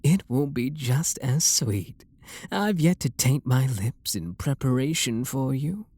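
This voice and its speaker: A man with a British accent playing a refined, possessive and seductive vampire lord in an intimate ASMR roleplay, speaking softly and coaxingly with a breathy, husky voice. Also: medium pitch, lively intonation, no echo. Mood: flirtatious, hungry. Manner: soft, coaxing, flirtatious, unhurried.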